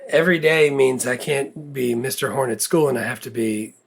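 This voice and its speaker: A man with a gravelly voice co-hosting a movie podcast in a casual, halting conversational style. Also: medium pitch, slight background noise.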